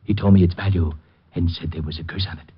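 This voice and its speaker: An actor playing a jumpy, frightened little man in a nightshirt, nervous and excitable in a 1950s radio drama, on a muffled old recording with music. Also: low-pitched, quick pace, breathy edge. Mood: earnest. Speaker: client.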